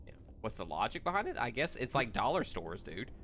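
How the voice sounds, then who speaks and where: A man's voice: mid-range voice, sing-song, a little fast, a crowd in the background. A man chatting casually and telling rambling anecdotes as a co-host of a gaming show. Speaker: video game show co-host. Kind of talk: casual chat.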